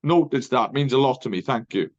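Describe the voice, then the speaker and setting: A man's voice: mid-range voice, lively intonation, fairly quick, muffled recording. A middle-aged man from Wales with a Welsh accent, a native Welsh speaker, talking earnestly as an interview guest. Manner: earnest, reflective, says mate often. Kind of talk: casual interview chat.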